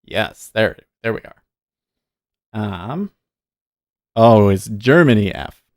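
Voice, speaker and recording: A man's voice: fairly low pitch, animated, slow, no echo, clean studio sound. A man hosting a day trading livestream, chatting casually and thinking aloud, often muttering to himself between points.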